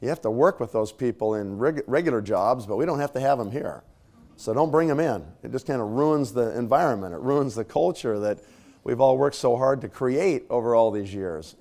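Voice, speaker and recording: Male trainer, mid-range voice, a bit scratchy, relaxed and conversational while motivating a room. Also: medium pitch. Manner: conversational, casual fillers and tag questions. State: slightly scratchy voice, clearing throat. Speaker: speaker at a leadership training. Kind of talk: motivational talk to a live audience.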